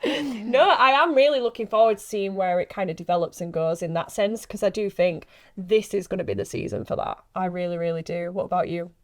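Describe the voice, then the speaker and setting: A woman's voice: mid-range voice, a little fast. A woman chatting casually and informally as an actress on a podcast. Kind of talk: casual chat.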